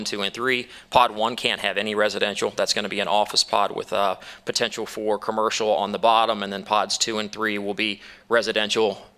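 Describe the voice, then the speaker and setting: A man's voice: fairly low pitch, bright, forceful tone, clean tone. A man speaking as an attorney for a developer at a town council hearing, upbeat and persuasive, with some fillers and restarts. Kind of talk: formal presentation.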